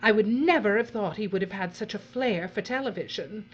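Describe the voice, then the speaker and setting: A woman's voice: mid-range voice, brisk, slightly rough, lo-fi sound, lightly acted. A woman playing a breezy, callous female television boss in an audio drama, theatrical and drawling, with condescending endearments. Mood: flattering, chummy.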